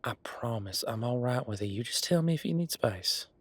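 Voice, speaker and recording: A man playing an affectionate, easygoing werewolf bodyguard in an intimate audio roleplay, talking in a casual, folksy, halting way. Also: medium pitch, lively intonation, no room sound, natural voice. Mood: tender, reassuring.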